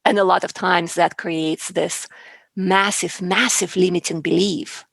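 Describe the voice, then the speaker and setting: Low gravelly woman coach being interviewed, earnest, repeats stuff to stress it. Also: steady tone, a little slow, no room sound. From outside the US. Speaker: public speaking coach, guest. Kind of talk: conversational interview.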